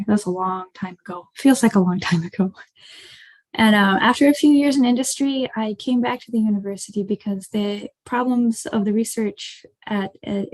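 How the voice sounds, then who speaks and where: A woman's voice: mid-range voice, darkish tone, no room sound, poor audio. An American woman giving an informal, conversational webinar talk as a startup co-founder over a video call. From rural Ohio, United States. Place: indoors, online video call.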